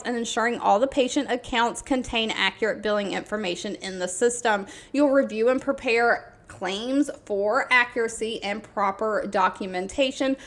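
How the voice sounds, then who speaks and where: High-pitched woman presenting information. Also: a bit bright. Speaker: video host sharing job listings. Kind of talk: informational vlog narration, semi-scripted.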